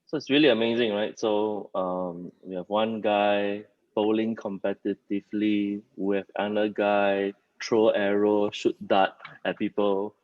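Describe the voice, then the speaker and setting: A man presenting informally at a company meeting, pausing often mid-sentence as he speaks. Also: fairly low pitch, little pitch movement, no echo. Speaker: company leader hosting a staff townhall. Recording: dull, muffled lo-fi audio.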